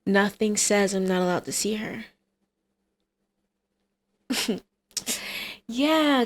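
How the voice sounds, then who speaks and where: Girl doing a cocky villain mom for asmr roleplay, teasing n coaxing, kinda high voice. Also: a little mellow, lightly acted. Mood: defiant, taunting. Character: brazen female supervillain, teasing, combative, scheming mother.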